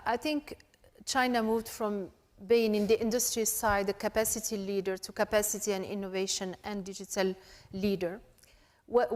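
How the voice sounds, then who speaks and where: A woman's voice: mid-range voice, darkish tone. A woman from Morocco speaking off the cuff as a panelist, emphatically counting out her points. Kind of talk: unscripted panel discussion. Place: conference panel hall. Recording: echoey conference hall.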